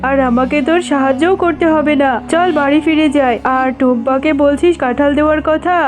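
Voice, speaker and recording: A woman's voice: sits high, fairly quick, over music, close to an everyday voice. A woman voicing a grudging, haughty animal mother in a cartoon, with a scornful, scolding manner. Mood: dismissive. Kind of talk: cartoon voice acting.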